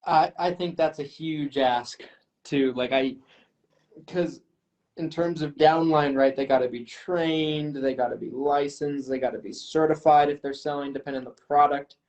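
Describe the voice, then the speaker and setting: Self-deprecating man, slightly high voice. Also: fairly even intonation, moderate pace, a little mellow. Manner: self-deprecating, thinking aloud with ums. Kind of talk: casual chat. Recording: slightly dull lo-fi audio.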